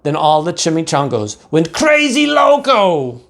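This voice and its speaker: A man's voice: fairly high pitch, huge pitch swings, unhurried, creaky, fairly bright tone. A man reads a picture book aloud for young children. He is theatrical in his delivery and leans on key words, drawing them out for emphasis. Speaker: pastor reading a picture book to second graders. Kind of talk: animated children's storybook read-aloud.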